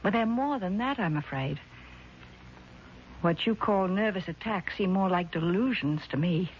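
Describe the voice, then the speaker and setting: An actress playing a worried, evasive woman in a 1970s radio drama, speaking in a hesitant, measured way. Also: fairly low pitch, expressive. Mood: anxious. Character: worried, evasive sister with designs on an estate. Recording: dull, noisy vintage radio recording.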